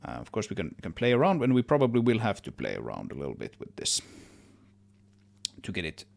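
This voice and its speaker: A man giving a casual software tutorial, thinking aloud, correcting himself and trailing off as he works. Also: lowish voice, huge pitch swings, fast talker, creaky. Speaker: tutorial presenter, game audio developer.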